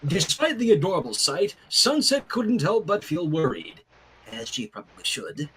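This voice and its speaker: A man's voice: on the high side, big pitch swings, normal speed, fairly bright tone. A man hosting a fan fiction reading, playful, narrating the story and breaking off for chatty asides. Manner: playful, self-interrupting. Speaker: host, fan fiction reader.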